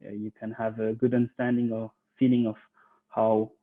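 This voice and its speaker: A man's voice: fairly low pitch, completely flat delivery, moderate pace. Hesitant man on a tinny line. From Switzerland. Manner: hesitant, many fillers and restarts. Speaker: recruiter. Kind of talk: online conference presentation. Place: home office. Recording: tinny phone-like audio.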